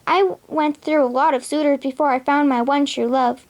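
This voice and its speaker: Storybook princess, young, spirited and romantic, high earnest voice played by a young female reader. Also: steady tone, normal speed.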